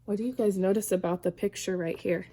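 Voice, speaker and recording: A woman teacher reading a storybook aloud to young children in an animated way, pausing to ask them questions. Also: mid-range voice, slightly rough.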